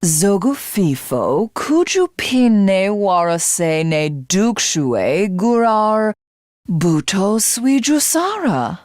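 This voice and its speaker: A woman's voice: on the low side, a little mellow, dry recording, studio quality, full character voice. A woman voicing a megalomaniac alien warrior from a video game, haughty and theatrical, who devours rivals to become a god. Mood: haughty, alien speech. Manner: haughty, grandiose, theatrical, self-satisfied. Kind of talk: video game character voice acting.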